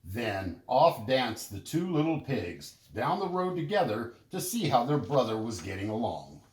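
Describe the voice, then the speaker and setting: A man narrating a children's story in an expressive, deliberate storytelling manner. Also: medium pitch, roomy sound, slight background noise, rough recording. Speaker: storybook reader.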